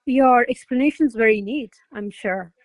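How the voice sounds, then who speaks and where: An actress playing a haughty, quick-witted noblewoman in a classical comedy radio play, imperious, formal and articulate. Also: a little high, no echo, lightly acted. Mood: scornful, agitated. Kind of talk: stage-style radio play acting.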